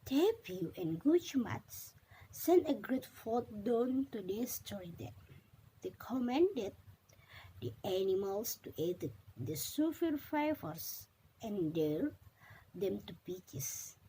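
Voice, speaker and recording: Slow, lively young woman storyteller. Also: a little high, a little hiss or hum, rough recording. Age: young adult. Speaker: student reading a story aloud. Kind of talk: scripted storytelling narration, read aloud.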